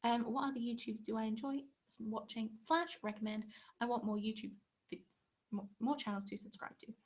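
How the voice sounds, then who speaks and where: Young English woman, live-stream host, rambling casual chat, a touch high-pitched. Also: moderate pace. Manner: chatty, rambling, frequent ums. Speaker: craft channel host.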